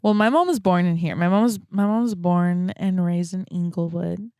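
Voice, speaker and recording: A young woman talking reflectively and casually as a podcast guest, halting often and peppering her speech with "like". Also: lowish voice, mellow, clear, resonant tone, dry recording, studio quality. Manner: halting, frequent "like" as verbal filler.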